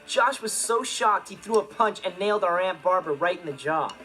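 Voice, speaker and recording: Teenage sitcom stepbrother, laid-back, mischievous, casual, dry, smug, music behind. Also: male voice, high-pitched, expressive, a little fast. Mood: amused. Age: teenager to young adult. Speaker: sitcom lead. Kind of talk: sitcom monologue to audience.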